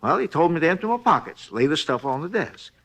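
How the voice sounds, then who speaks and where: An actor in a 1950s radio drama playing a talkative, folksy hotel night clerk who rambles in a rustic, ungrammatical drawl. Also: male voice, fairly high pitch, big pitch swings, fairly bright tone, full character voice. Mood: chatty, earnest. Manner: rambling, folksy, unhurried storytelling. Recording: vintage 1950s radio recording.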